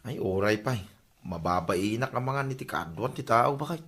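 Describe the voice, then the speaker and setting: An actor with an Ilocano Filipino accent playing a bashful husband who gets drunk and silly, in a radio comedy drama. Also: male voice, lowish voice, expressive, normal speed, fairly bright tone. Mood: shy, polite.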